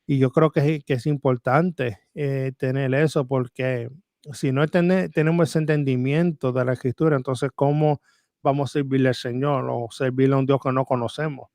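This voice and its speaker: A man, a Bible teacher, talking as a podcast guest in halting, word-searching Spanish that he says is rusty. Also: mid-range voice, steady tone, a little mellow, no echo, studio quality. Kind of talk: podcast interview testimony.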